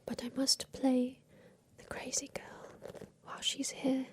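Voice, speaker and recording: A woman voicing a secretive, tender captive girl in a haunted attic, in a hushed, deliberate ASMR roleplay whisper that repeats phrases. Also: high voice, monotone. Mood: wistful, secretive.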